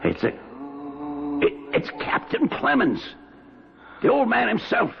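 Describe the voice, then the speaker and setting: Gravelly, jittery, superstitious rustic helper. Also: male voice, very high voice, huge pitch swings, slow, music in the background, full character voice. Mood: frightened. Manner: folksy, ungrammatical, stammering. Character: superstitious, easily frightened rustic male helper. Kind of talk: 1970s radio drama acting. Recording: dull vintage radio recording, crowd-like background.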